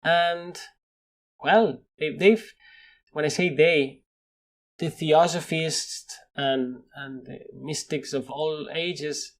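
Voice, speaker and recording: Man, spanish accent, somewhat high voice, animated and emphatic, interview guest. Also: slow pace. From Spain. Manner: animated, laughs often, repeats words when stressing a point. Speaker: guest scientist. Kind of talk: conversational interview.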